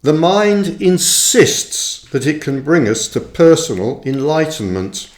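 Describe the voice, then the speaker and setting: A man reading his own reflective book aloud like an audiobook, with deliberate, measured phrasing and pauses. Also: fairly high pitch, very animated. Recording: roomy, echoing voice recording.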